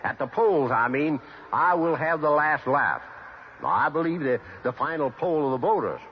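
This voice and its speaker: Man putting on a loud, blustering old politician, pitched up. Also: animated, moderate pace, a little hiss or hum, close to an everyday voice. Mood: blustering, defiant. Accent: American. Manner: stammering, repeats himself pompously. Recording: dull old radio recording.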